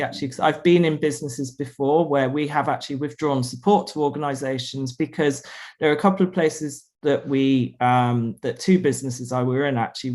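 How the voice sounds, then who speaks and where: A man speaking as a panelist on a video call, chatty and laughing easily, with lots of fillers. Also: mid-range voice. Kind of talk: casual panel discussion.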